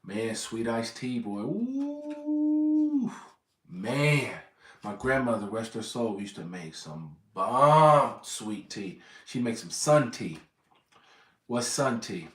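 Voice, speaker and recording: A man's voice: medium pitch, big pitch swings, takes it slowly, a bit bright, reverberant, recorded from a distance. An American man from Southern California in his thirties, rambling casually to his viewers and correcting himself as he goes. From Southern California, USA. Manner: meandering, corrects himself often. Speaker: vlogger.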